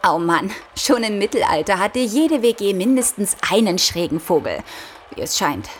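A woman's voice: mid-range voice, big pitch swings, normal speed, a little hiss or hum, close to an everyday voice. An actress playing a sceptical young adult daughter humouring her father in an audio drama, in a wry, casual, mocking way. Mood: sceptical, curious. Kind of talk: German audio drama dialogue, colloquial.

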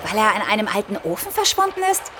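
A wry, sceptical grown-up daughter. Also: female voice, mid-range voice, very animated, quick pace, fairly bright tone, faint music behind. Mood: sceptical, curious. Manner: wry, mocking, casual. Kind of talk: German audio drama dialogue, colloquial.

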